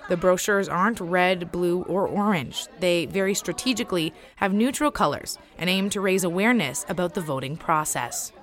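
Low-voiced woman hosting a podcast. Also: moderate pace, few pauses, a faint crowd. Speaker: podcast host. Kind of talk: scripted narration.

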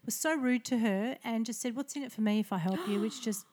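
A woman's voice: fairly high pitch, a little fast, a little mellow. A middle-aged woman, a local councillor, telling her story in a casual, chatty interview with many fillers and restarts. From Australia.

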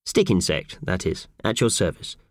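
A man voicing a calm, helpful stick insect with formal, polite manners, in a children's audiobook. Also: low-pitched, normal speed, no room sound, clean studio sound.

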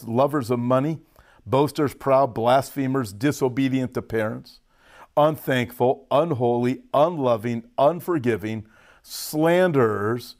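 A man's voice: medium pitch, darkish tone. An American man speaking as a pastor to an online audience in an informal, folksy sermon style, recovering from a cough with a lozenge in his mouth. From United States. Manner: folksy, direct address with emphatic stresses. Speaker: pastor hosting a prophecy update.

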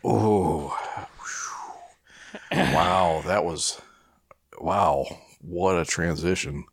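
A man's voice: low voice, drawn-out words, breathy edge, no echo, clean studio sound. A tipsy man co-hosting a comedy podcast, reacting with mock exasperation and casual swearing. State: tipsy after three shots. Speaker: podcast co-host.

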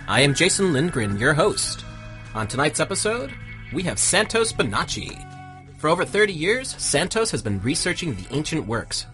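A man's voice: medium pitch, a bit bright. A man hosting a podcast-style show, reading a prepared introduction of his guest. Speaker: host.

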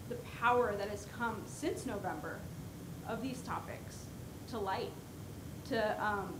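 Woman, hesitant, many fillers, conference panel, roomy noisy recording. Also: on the high side. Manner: hesitant, many ums, laughs lightly. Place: conference session room. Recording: roomy session recording with noise.

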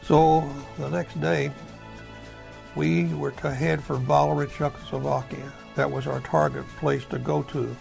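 A man's voice: on the high side, flat delivery, measured pace, a little mellow, faint music behind. An elderly American man, a war veteran telling his memories in a folksy, rambling way, searching for words. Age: older, over 70. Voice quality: gravelly. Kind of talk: oral history storytelling.

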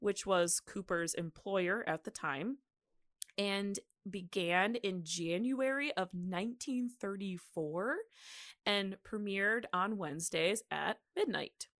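A woman's voice: mid-range voice, slow pace, a bit bright, no echo, studio quality. A woman co-hosting a podcast, telling researched history in an informal, conversational way. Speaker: podcast co-host. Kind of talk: casual podcast chat with researched history narration.

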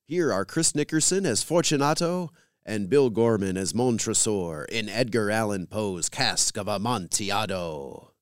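A man's voice: on the low side, lively intonation, unhurried, smooth, no echo, clean studio sound. A man hosting a radio program, reading scripted introductions and credits as a presenter.

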